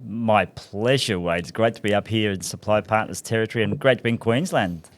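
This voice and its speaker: A man talks easily about his long working life, often laughing at himself. His voice sits on the low side. He comes across as relaxed and good-humoured as a podcast guest. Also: brisk, no room sound. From Scotland. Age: 60s. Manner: chatty, self-deprecating, laughs often. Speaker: guest, commercial director. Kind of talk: casual podcast interview.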